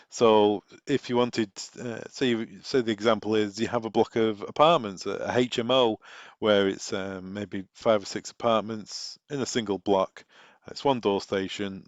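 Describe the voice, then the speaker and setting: A man presenting informal product training, explaining things step by step through practical examples. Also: lowish voice. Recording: echoey room, dull muffled sound.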